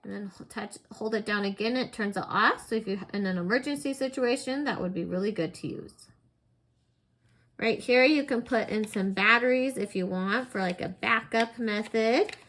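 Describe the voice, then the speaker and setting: Higher-pitched woman demonstrating a product. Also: normal speed, fairly bright tone. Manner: plain, step-by-step explaining. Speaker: product reviewer.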